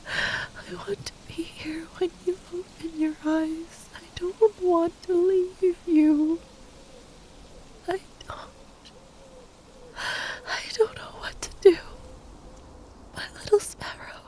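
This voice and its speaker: A woman playing a tender, grieving spellcaster lover in an ASMR roleplay, speaking in a breathy, halting whisper. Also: extremely high pitch, fairly even intonation, darkish tone, a little hiss or hum, rough recording. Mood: anguished, tearful. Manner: halting, tender, sighing delivery.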